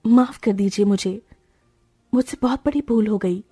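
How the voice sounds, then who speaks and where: A woman's voice: mid-range voice, moderate pace, dark tone, slightly rough, dry recording, soft background music, close to an everyday voice. Woman voicing a spirited rich young bride. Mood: earnest. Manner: pleading, emotional.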